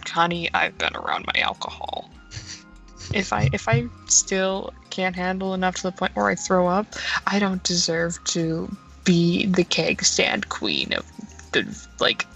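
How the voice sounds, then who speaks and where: Sassy roleplayer with a gravelly, fry-heavy voice and faint music underneath. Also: mid-range voice, lively intonation, measured pace, bright, forceful tone, poor audio. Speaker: roleplay player. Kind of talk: casual voice-chat roleplay.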